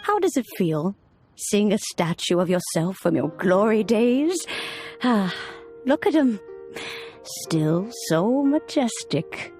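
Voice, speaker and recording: An actress playing an ageless, wise immortal woman in a video game, telling old tales in a solemn, measured, formal way. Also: mid-range voice, lively intonation, a little mellow, faint music behind. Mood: fond, teasing. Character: ageless immortal adeptus woman, wise, stately, nostalgic storyteller. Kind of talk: dramatic video game storytelling.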